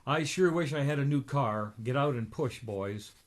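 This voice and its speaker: A man voicing an excitable, grumbling middle-aged cartoon duck uncle from a comic book, in an exclamatory way. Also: mid-range voice, moderate pace, close to an everyday voice. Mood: exasperated. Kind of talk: comic book read aloud.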